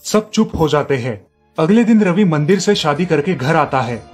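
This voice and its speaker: A man narrating a family cartoon story in a steady storytelling manner. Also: on the high side, normal speed, faint music behind.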